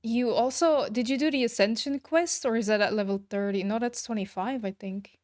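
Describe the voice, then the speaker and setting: A woman's voice: fairly high pitch, fairly quick, dry recording, clean studio sound. A woman game streamer chatting casually with her viewers. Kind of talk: casual livestream chat. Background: soft background music.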